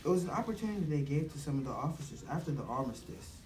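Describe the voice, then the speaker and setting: An earnest, intense romantic rival, voiced by a puppet-show performer, insisting on his claim. The delivery swings widely in pitch. It is recorded in a roomy space with the mic far off. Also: expressive, racing, darkish tone, natural voice. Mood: measured. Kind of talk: amateur puppet show reading.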